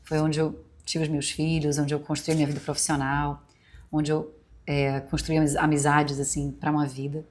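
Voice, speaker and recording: A woman in her forties with a Bahian Brazilian accent softened by years in Rio, hosting a podcast in a rambling, confiding personal monologue full of asides. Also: low-pitched, little pitch movement, moderate pace. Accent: Brazilian Portuguese, Bahian softened by years in Rio. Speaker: podcast host.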